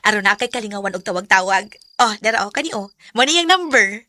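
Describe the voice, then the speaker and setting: An actress with a Visayan Filipino accent playing a playful co-worker friend in a radio drama. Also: female voice, medium pitch, expressive, fast, bright, forceful tone. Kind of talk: Cebuano radio drama acting.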